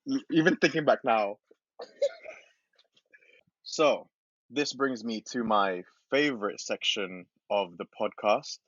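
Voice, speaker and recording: A man's voice: on the low side, slow, pauses often, fairly bright tone, dry recording. A man hosting a podcast in casual chat, laughing often as he tells stories. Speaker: podcast host. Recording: dull, dry recording.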